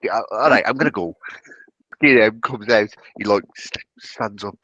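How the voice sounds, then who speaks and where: Man, lowish pitch, dry recording, tabletop player, casual banter, improvised narration, halting, joking. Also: sharp tone, no room sound, muffled recording.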